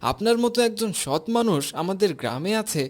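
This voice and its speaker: A man's voice: high-pitched, lively intonation, a little fast. A man playing a kindly, supportive village imam in a cartoon. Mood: approving. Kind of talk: cartoon dialogue.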